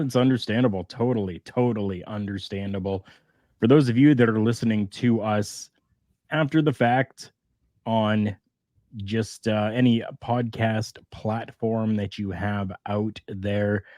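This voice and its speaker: A man's voice: lowish voice, dark tone, no room sound. A man hosting a wrestling podcast, chatting in an easygoing way with fillers and restarts. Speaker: podcast host. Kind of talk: casual wrestling podcast chat.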